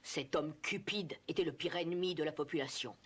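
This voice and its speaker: Casting: children's story narrator, medium pitch, scripted storytelling delivery. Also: a little fast, husky.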